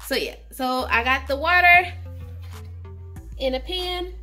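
A woman's voice: high voice, slow pace, pushed and bright, soft background music. A woman in her twenties chatting informally to viewers as she cooks in a home kitchen, recorded on a handheld camera. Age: 20s. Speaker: home cooking vlogger. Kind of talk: casual cooking vlog chat. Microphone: handheld camera at arm's length.

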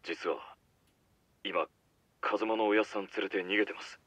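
An actor playing an anxious, loyal younger yakuza underling speaking earnestly over a telephone in a game cutscene. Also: male voice, fairly low pitch, steady tone, moderate pace, heavy background noise. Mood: anxious, urgent. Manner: earnest, deferential, urgent. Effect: telephone filter. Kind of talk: Japanese game cutscene acting. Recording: muffled phone line, faint music.

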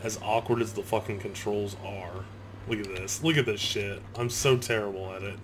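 A man's voice: on the low side, fairly even intonation, moderate pace, background noise. A man doing casual let's play commentary, rambling with heavy swearing and sarcasm. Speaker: gaming video host. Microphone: close gaming microphone. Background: game audio.

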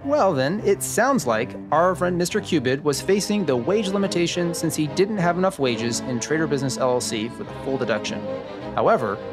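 A man playing a genial, sharp tax expert in a scripted noir radio drama, upbeat and explanatory. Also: on the high side, animated, a little fast, music in the background, close to an everyday voice. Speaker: podcast host acting in a radio drama.

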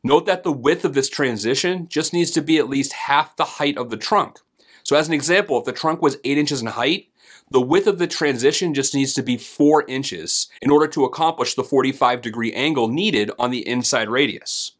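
Steady man explaining to camera. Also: medium pitch, normal speed. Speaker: host and HVAC trainer. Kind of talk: scripted instructional explainer. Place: home office, indoors.

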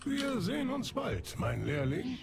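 An actor playing a devoted, grieving undead plague alchemist in a video game, with a creaky voice and theatrical, drawn-out delivery. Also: male voice, very high-pitched, huge pitch swings, heavily acted. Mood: grateful. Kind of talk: video game character acting.

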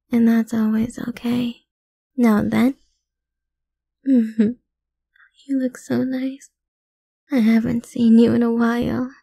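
A woman playing a possessive, lovesick mafia boss's daughter in an ASMR roleplay, speaking softly and breathily, often slipping into whispers, with sweet menace. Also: fairly high pitch, no echo, exaggerated voice. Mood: affectionate. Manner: soft, breathy, slow, often dropping into whispers. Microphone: close mic, dry.

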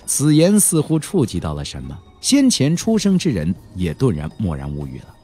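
A man narrating an audiobook in a dramatic storytelling style, expressive, with drawn-out emphasis. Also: low voice, husky, faint music behind.

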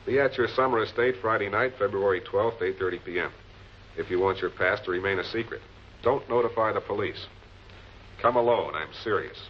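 Low-voiced, composed prosecutor played by a man, muffled film. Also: brisk, lightly acted. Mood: measured, explanatory. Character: composed, probing male public prosecutor questioning suspects. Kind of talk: 1940s television crime drama acting. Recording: muffled, noisy old film soundtrack.

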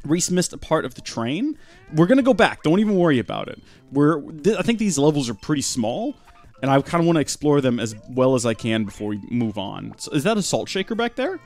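A young man giving rambling, deadpan joking commentary while streaming a video game live. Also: fairly high pitch, brisk, soft background music.

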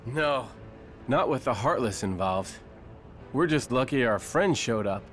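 An actor playing a friendly, confident young male hero in an animated fantasy game, earnest and lightly wry. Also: medium pitch, unhurried, soft background music, natural voice.

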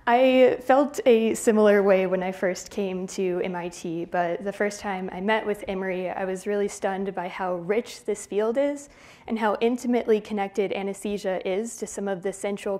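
A young woman in her twenties presents her research from a lecture hall podium. She speaks in a clear, measured lecturing manner into a podium microphone, with a mid-range voice. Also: mid-range voice, a little mellow. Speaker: PhD student presenting research. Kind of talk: conference talk.

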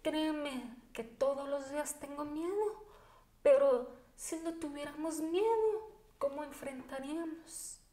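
A woman's voice: high voice, a little slow, mellow. A woman in her thirties with a Mexican Spanish accent, telling personal stories emphatically as a podcast guest. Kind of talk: conversational interview, personal storytelling. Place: indoor dining room. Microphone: podcast microphone on table stand. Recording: echoey room.

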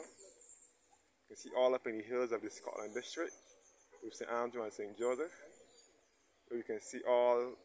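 A man at a middling pitch walks and talks, pointing out sights in a relaxed, easygoing way. He is recorded on a handheld camera, first outdoors in a churchyard and then inside a large church. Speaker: travel vlogger giving a walking tour. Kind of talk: casual guided walking tour.

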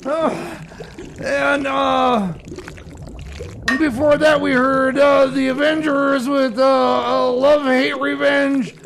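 A man playing a flustered, anguished radio host in a comedy sketch, halting and stammering through his announcements. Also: very high-pitched, flat delivery, vocal fry, a bit bright, full character voice. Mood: halting, pained. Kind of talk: improvised comedy radio theater, back-announcing songs.

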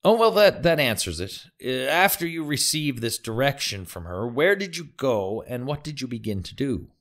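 A prosecuting attorney in a courtroom audio drama, played by a man questions a witness step by step in a formal, methodical manner. His voice rises and falls with a lively, varied pitch. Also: normal speed. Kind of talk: audio drama reenactment of trial testimony.

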